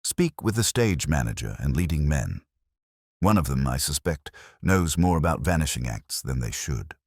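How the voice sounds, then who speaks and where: A man's voice: extremely low pitch, sing-song, moderate pace, breathy edge, no echo, studio quality, full character voice. A man voicing an imperious genius detective who speaks in formal, commanding, ornate phrases.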